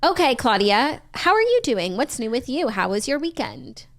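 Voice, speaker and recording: A woman co-hosting a casual morning talk show, coming down with a cold and wryly grumbling about a rough morning. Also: fairly high pitch, sing-song, moderate pace. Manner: chatty, grumpy and wry about a rough morning. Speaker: podcast co-host. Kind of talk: casual chat.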